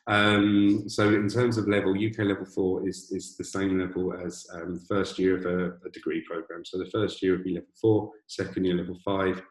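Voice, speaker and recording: A man with a boomy voice presents a webinar on a video call from an empty borrowed office, talking hesitantly with many restarts and fillers. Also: flat delivery, normal speed, hardly any pauses, reverberant, low-quality recording. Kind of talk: informational webinar talk. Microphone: computer mic on a video call.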